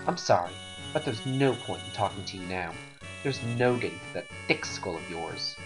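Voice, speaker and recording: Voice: deep voice, animated, moderate pace. Performer as devout, defiant woman agent, music behind. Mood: scornful. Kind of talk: voiced game cutscene dialogue.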